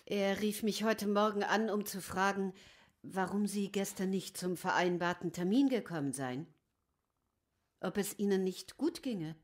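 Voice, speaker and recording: An actress playing a caring, concerned lady of the house in a radio drama, speaking gently and politely in a coaxing way. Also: medium pitch, a little slow, close to an everyday voice. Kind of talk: German radio drama acting.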